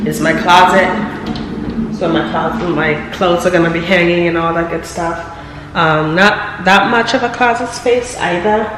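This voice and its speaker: A young woman with a raspy voice from being sick, chatting casually through a tour of her new apartment. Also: fairly low pitch. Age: young adult. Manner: chatty, rambling with many fillers. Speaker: vlogger. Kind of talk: casual home tour vlog. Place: empty apartment, indoors. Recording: echoey empty apartment with hum.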